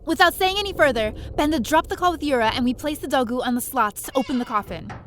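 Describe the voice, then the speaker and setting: Woman acting plucky female space adventurer, high lively voice, expressive first-person audio drama storytelling, faint noise. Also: fast talker. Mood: tense narration.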